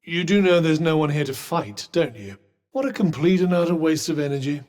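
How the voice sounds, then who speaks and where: Man voicing a sardonic enchanted cuff for a video game: middle-aged, refined British male, fairly high voice, dry and pompous, drenched in long reverb. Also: expressive, fairly quick, a little mellow, lightly acted. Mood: mocking, goading. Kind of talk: game companion combat barks.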